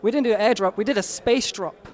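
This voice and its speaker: High-voiced man, eager pitch. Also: quick pace. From Albania, raised in London. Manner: eager, insistent, emphatic claims. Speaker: marketing lead, interviewee. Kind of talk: casual interview pitch.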